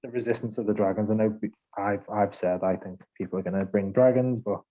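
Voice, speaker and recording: Mellow man, rambling gamer chat. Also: lowish voice, fairly even intonation, brisk, rough recording. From Liverpool, England. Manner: rambling, laughs a lot, self-deprecating. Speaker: podcast panelist. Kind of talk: casual gaming chat.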